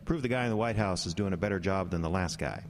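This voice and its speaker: An actor playing a smug, glib government bureaucrat with a sardonic, officious manner in a radio drama. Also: male voice, low voice, quick pace. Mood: smug, needling.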